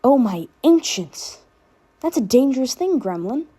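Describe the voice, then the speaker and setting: A woman's voice: high voice, big pitch swings, unhurried, dark tone, breathy edge, voice pushed up, full character voice. A woman voicing a protective, teasing older brother in a casual, firm way for a fan fiction reading.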